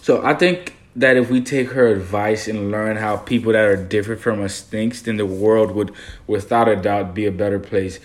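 A man's voice: fairly low pitch, steady tone, normal speed. A young man from Haiti co-hosting a discussion, speaking earnestly and measuredly with frequent fillers. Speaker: co-host discussing a designer interview. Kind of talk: casual conversational discussion.

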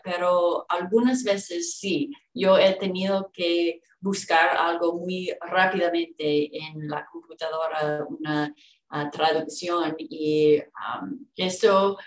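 A woman training as a legal interpreter, chatting hesitantly with many fillers. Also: fairly low pitch. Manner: hesitant, filler-heavy, laughs lightly. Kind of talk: casual conversation. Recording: echoey room, distant from the mic.

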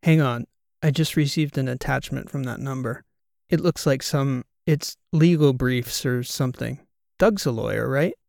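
A man voicing an excitable, incredulous male podcast co-host in an audiobook, animated and rambling. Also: mid-range voice, moderate pace, creaky, dry recording, studio quality, close to an everyday voice. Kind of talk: audiobook character dialogue.

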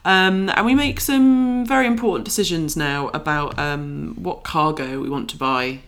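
A woman with a medium-pitched voice, co-hosting a podcast and playing along in a role-playing game in casual chat. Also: moderate pace, a bit bright. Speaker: podcast host and game player.

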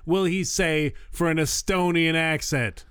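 A man's voice: fairly high pitch, measured pace, fairly bright tone, clear, resonant tone, no room sound. Man with wry, dry quips. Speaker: podcast co-host. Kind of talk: casual banter.

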